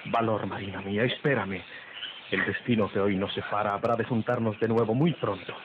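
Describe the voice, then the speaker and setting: Actor as a low-voiced gallant captain, very noisy recording. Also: male voice, steady tone, fairly quick, natural voice. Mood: tender, resolute. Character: gallant soldier captain, tender and resolute. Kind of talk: old radio melodrama acting. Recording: dull, very noisy old radio recording.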